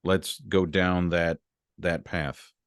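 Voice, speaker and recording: A man's voice: low voice, level tone, takes it slowly, clear, resonant tone, no echo, studio quality. A man hosting an interview, asking curious, thoughtful questions and affirming his guest. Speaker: interview host.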